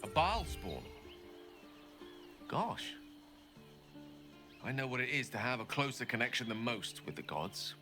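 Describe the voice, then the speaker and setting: A man's voice: mid-range voice, sing-song, unhurried, long pauses, over music. A man playing a wary, theatrical adventurer in a video game, wry and careful. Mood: startled, cautioning.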